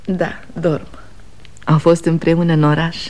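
An actress playing a patient, worried wife and mother in a radio drama, gently pleading and soothing. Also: deep voice, little pitch movement, natural voice. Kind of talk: 1960 Romanian radio theatre acting.

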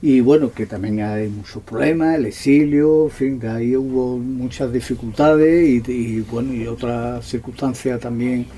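A man's voice: mid-range voice, fairly even intonation, normal speed, hardly any pauses, mellow. A man talking off the cuff at a public discussion, in long trailing clauses with tag questions. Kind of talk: unscripted discussion at a public talk.

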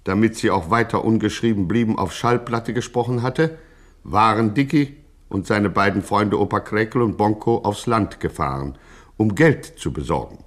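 An actor playing a grandiloquent narrator of a gangster satire, speaking in a pompous, mock-solemn way. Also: male voice, fairly low pitch, moderate pace, close to an everyday voice. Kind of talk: scripted satirical radio narration.

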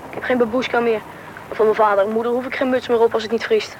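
A young performer playing a lively thirteen-year-old Russian boy who narrates and acts in a radio drama, with a slightly husky boyish voice. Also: medium pitch, quick pace, highs cut off, slight background noise, lightly acted. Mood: playful, eager. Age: young teen. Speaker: main character and narrator of a radio play. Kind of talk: radio drama storytelling and dialogue.

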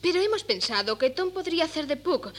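Cheery polite young woman, 70s radio theatre, high bright voice. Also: very animated, fairly quick. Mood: light, amused. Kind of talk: 1970s Spanish radio theatre acting.

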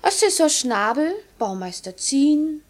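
An actress playing a pert, flustered innkeeper's daughter in classic German radio drama, chatty, coquettish and quick to take offence. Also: female voice, high voice, big pitch swings. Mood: exasperated, chatty. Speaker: actor in a radio play.

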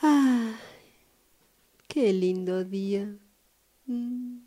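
A woman's voice: on the high side, extremely slow, warm tone. A woman acting a dreamy, wistful train passenger in a radio drama, theatrically echoing her own phrases. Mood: dreamy, nostalgic.

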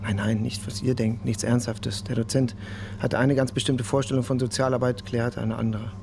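An actor playing a shaken, grieving police detective who speaks haltingly and defensively in a radio crime drama. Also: male voice, mid-range voice, level tone, vocal fry, background noise, close to an everyday voice. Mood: subdued, wistful. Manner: hesitant, halting, repeating himself.

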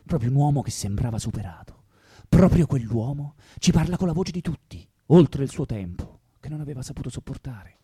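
Impassioned revolutionary orator, widely swinging intonation. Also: male voice, mid-range voice, lively intonation, mellow, breathy edge, dry recording, clean studio sound. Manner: rhetorical, deliberate, swelling and dropping. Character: impassioned young revolutionary man orating about Russian poets. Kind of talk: dramatic political monologue in radio drama.

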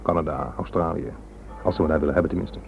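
Wry police inspector on noisy old radio. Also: male voice, a bass voice, fairly even intonation, quick pace, gravelly. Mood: resigned. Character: suspended British police inspector, wry and resigned. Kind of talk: radio drama acting. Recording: old radio recording, narrow band, background noise.

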